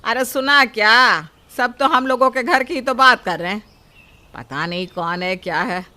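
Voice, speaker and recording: एक महिला, कार्टून नैतिक कहानी की डाँटती, लालची और हुक्म चलाने वाली सास का अभिनय करती हुई।. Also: female voice, fairly high pitch, a little slow, pushed and bright. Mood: complaining. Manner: nagging, bossy. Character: scolding, greedy mother-in-law with a sharp tongue. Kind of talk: animated moral story.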